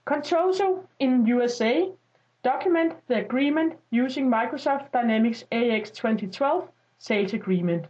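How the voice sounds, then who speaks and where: Female tutorial narrator, notably high voice, scripted instructional read, slightly muffled lo-fi sound. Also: expressive, normal speed, darkish tone. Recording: dull lo-fi voice-over.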